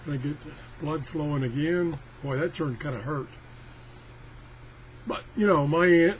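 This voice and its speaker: Older guy doing a casual product demo, kinda high voice, rambly and folksy, some noise in the recording. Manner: rambling, folksy, self-deprecating grumbling. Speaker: product reviewer. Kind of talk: casual hands-on product demonstration. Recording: clearly noisy amateur recording.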